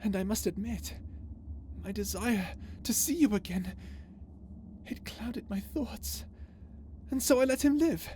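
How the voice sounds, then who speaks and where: A woman playing an unhinged, vengeful, lovesick and tormented space villain in an ASMR roleplay drama, speaking in a theatrical, halting way. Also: medium pitch, expressive, mellow, slight background noise, natural voice. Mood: sweetly sinister. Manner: theatrical, halting, swinging between tender menace and anguish.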